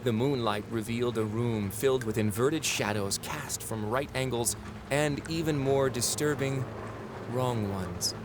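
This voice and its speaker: A man playing a wry, ominous narrator in an audio drama, telling the story in a deliberate, theatrical way. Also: medium pitch, background noise, close to an everyday voice.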